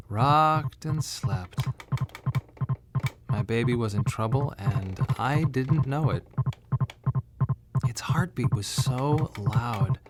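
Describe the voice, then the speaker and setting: A man's voice: mid-range voice, natural voice. An actor playing a weary, bereaved father who tells his story in a reflective, wry radio drama monologue. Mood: anguished.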